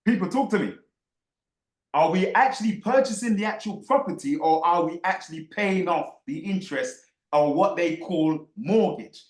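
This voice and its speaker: A man's voice: sits high, lively intonation, moderate pace, roomy sound. A man hosting a live online group discussion, speaking emphatically and insistently and repeating phrases for effect. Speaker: host of an online book club discussion.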